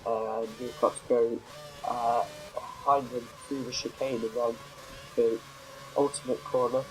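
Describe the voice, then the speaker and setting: A man's voice: mid-range voice, flat delivery, measured pace, a little hiss or hum, low-quality recording. Nasal man with a cold. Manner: rambling, self-correcting, many fillers. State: has a cold, a bit nasal. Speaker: gameplay commentator. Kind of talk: casual racing game commentary.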